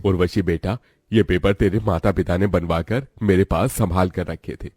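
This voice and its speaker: An actor playing a greedy, falsely affectionate middle-aged uncle in a cartoon moral story, smooth-talking and calculating. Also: male voice, sits low, moderate pace, a little mellow, no room sound, studio quality.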